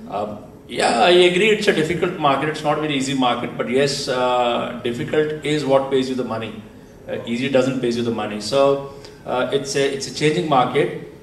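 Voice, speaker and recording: A man's voice: medium pitch, moderate pace. A man on a panel, speaking steadily with many "you know" fillers and restarts. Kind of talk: panel discussion. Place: large conference hall stage, seated panel. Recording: echoey hall, distant mic, faint noise.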